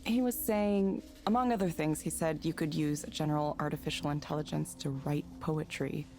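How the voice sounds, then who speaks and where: A guarded, modest woman in a narrative game. Her voice is on the low side and her delivery is understated and a little hesitant. Faint music sits underneath. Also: steady tone, moderate pace, darkish tone. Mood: guarded, apologetic. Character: reserved, modest, guarded woman, former tech researcher. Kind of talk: naturalistic visual novel acting.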